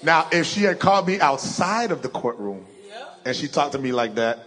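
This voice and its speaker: Animated young preacher with a deep voice. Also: male voice, normal speed. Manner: animated storyteller, acts out quotes. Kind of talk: sermon with personal story.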